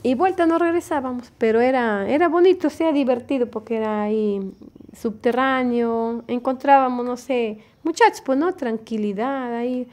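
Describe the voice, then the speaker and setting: Higher-voiced woman. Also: moderate pace, a little mellow. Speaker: local resident giving testimony. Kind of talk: casual spoken reminiscence.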